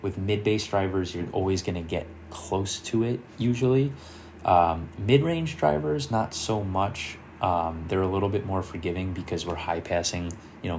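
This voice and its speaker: A man presenting an informal screen-share software tutorial, explaining things and thinking aloud as he works. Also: low-pitched, slight background noise. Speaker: car audio tutorial presenter.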